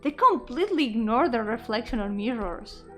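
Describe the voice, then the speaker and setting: High-voiced woman streaming. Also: sing-song, moderate pace, sharp tone. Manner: playful, switches between English and Spanish. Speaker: VTuber streamer. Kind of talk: casual livestream chat with viewers. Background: music.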